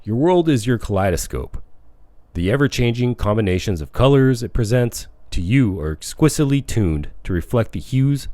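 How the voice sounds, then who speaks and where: A man narrating a self-help audiobook in a measured, emphatic way, phrase by phrase. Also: mid-range voice, expressive, mellow, no room sound. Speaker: audiobook reader.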